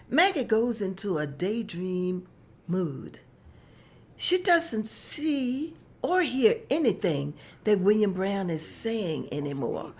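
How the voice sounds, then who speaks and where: A woman narrating stage directions in a table read, plain and matter-of-fact. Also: lowish voice.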